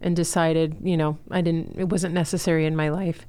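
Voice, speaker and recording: A woman's voice: low-pitched, little pitch movement, brisk, mellow, clear, resonant tone. A woman in her forties, from Chile and raised in Miami, earnestly telling her personal story as an interview guest. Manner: earnest, heartfelt storytelling with fillers. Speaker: guest, school co-founder.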